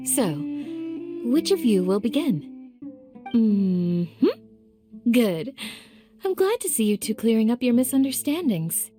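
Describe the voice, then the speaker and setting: A woman voicing a young village guardian in a game, calm, courteous and firm, with polite, measured phrasing. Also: a little high, faint music behind. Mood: composed.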